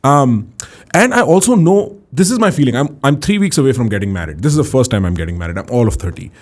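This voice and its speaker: A man's voice: medium pitch, expressive, fast, a little mellow. A man in his late twenties from India, hosting a podcast and thinking aloud before asking his question. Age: 20s. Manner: thoughtful, builds up to a question. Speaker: podcast host. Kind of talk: reflective interview questions.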